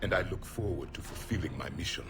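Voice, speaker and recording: Very deep solemn alien warrior. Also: male voice, fast, vocal fry. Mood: solemn, stirring. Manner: grave, formal, declamatory. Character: solemn ancient male alien warrior, last of his people. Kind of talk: video game dramatic acting. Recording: game dialogue over loud music.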